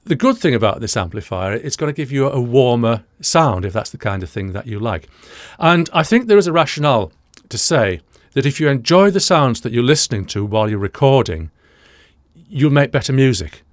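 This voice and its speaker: A British man in his sixties presenting an explanatory audio commentary, deliberate and didactic, repeating key points, close to the microphone in a small studio. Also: mid-range voice, very animated, dry recording. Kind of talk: explanatory reaction commentary to camera. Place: small indoor studio room, dry.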